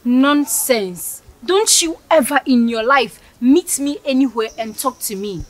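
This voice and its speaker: An actress playing a rude, snobbish young woman who mocks and shouts with contempt. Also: high-pitched, expressive, measured pace. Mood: outraged. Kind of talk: scripted skit acting.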